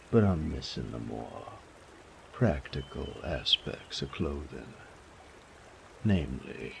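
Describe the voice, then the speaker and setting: Man playing a very deep, gravelly bandit. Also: a little hiss or hum, heavily acted. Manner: slow, taunting drawl with folksy, profane phrasing. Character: sadistic male bandit, cocky, teasing, menacing rogue. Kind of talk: intimate roleplay audio drama.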